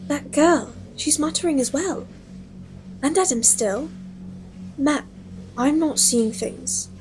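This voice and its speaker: A woman's voice: sits high, takes it slowly, background noise. A woman playing a female English professor who starts hearing strange voices, talking in a guarded, hesitant way. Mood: uneasy. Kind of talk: audio drama acting.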